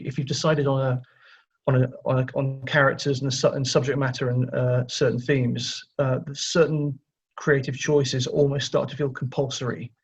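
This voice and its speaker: A man with a somewhat husky, mid-pitched voice chats casually as an interview guest. He thinks aloud, reworking his phrases as he goes. The audio is slightly dull and lo-fi. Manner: chatty, thinking aloud with frequent self-corrections. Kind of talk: casual conversational interview answers.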